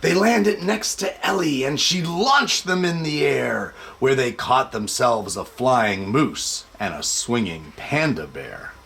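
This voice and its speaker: A man hosting a show for families and reading a children's picture book aloud in an expressive, measured way. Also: medium pitch, creaky. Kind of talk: casual introduction, then expressive storybook read-aloud.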